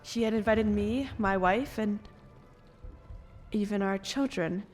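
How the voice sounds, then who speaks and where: A woman playing a frightened but defiant noblewoman in an audio drama, with a halting, stammering, anguished delivery. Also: mid-range voice, darkish tone, soft background music, natural voice. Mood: grief-stricken. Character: distraught revived noblewoman, mother, frightened and defiant.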